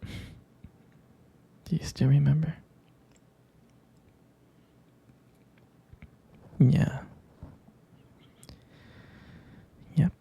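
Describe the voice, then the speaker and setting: A man acts out a devoted, jealous boyfriend in an intimate ASMR roleplay. His voice is very dark and soft, full of gravelly fry. He confides slowly and tenderly, often dropping into a husky whisper. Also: fairly high pitch, level tone, lo-fi sound, exaggerated voice. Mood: fond, nostalgic. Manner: slow, halting, tender, confiding. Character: protective, jealous, devoted young boyfriend soothing his partner.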